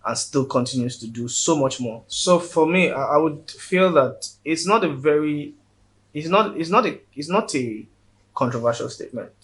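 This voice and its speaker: A man's voice: a little high, lively intonation, moderate pace, fairly bright tone. A man with a Nigerian accent, a guest in a casual interview, speaking earnestly and often repeating words as he builds his point.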